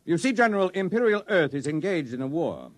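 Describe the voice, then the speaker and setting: Radio drama role: cool, calculating imperial advisor, fairly high dry-sounding voice, unruffled. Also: male voice, very animated, no echo. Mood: coolly calculating. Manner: unruffled, suave, measured. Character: smooth, cynical, calculating political advisor. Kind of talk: old-time radio drama acting.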